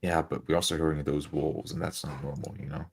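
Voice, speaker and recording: A man's voice: very deep voice, level tone, a little fast, gravelly, a little hiss or hum. A man voicing an awkward, unsure novice adventurer who stammers and defers, in a tabletop fantasy game. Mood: unsure, deferential. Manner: hesitant, stammering.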